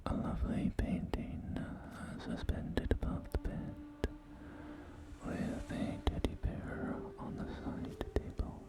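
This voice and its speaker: A man's voice: slow, close to an everyday voice. A man whispering in an ASMR roleplay as a courteous, enthusiastic post-apocalyptic real estate agent, with genteel, hesitant sales patter. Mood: soothing, admiring. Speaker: roleplay performer. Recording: music behind close whispering. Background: background music.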